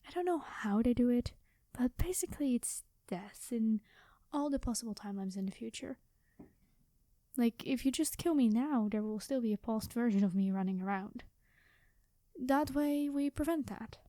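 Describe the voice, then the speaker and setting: High mellow female voice, acting as cheeky secretive time traveler, chatty, conspiratorial, ASMR roleplay, dry close sound. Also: animated, normal speed, no room sound, lightly acted. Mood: cautious, confiding. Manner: casual, chatty, conspiratorial, playful.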